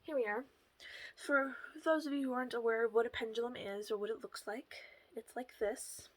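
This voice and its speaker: A woman with a soft voice that often slips into a half whisper, talking casually to her viewers through a headset at home, rambling a little and laughing softly. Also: fairly high pitch, fairly even intonation, moderate pace. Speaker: channel host. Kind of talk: casual solo talk to camera.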